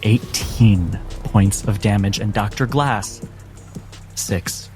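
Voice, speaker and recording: A man acting as game master, narrating a tabletop game with dramatic, deliberate phrasing. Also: lowish voice. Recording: music behind the voice. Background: background music.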